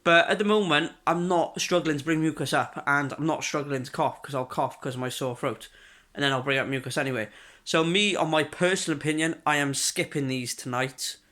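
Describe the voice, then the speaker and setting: Young man, congested and coughy, bright tense voice, fairly high, rambling casual chat. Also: brisk. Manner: rambling, chatty, frequent fillers and restarts. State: congested and coughing, sore throat. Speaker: vlogger. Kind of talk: casual video diary. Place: at home.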